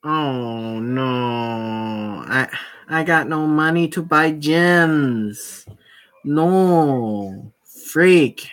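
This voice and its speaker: A streamer talking to viewers while working through a visual novel, in a very slow, drawn-out way. The voice is bright and clear. The talk rambles, doubles back on itself and breaks into quick apologies. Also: mid-range voice. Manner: chatty, rambling, with many restarts and apologies. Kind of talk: casual live-stream chat while playing a visual novel.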